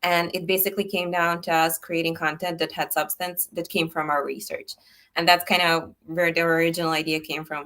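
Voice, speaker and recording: A young woman from Serbia, a startup founder, chatting in a relaxed way as a guest on a video call interview. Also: low voice. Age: young adult. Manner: relaxed, chatty, frequent "like" fillers. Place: indoor room, bright wall behind.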